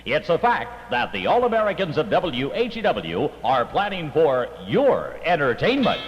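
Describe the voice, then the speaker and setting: A man's voice: on the high side, huge pitch swings, normal speed. A man reading a scripted radio station promo in a punchy, declamatory way. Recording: lo-fi 1960s radio aircheck with reverb.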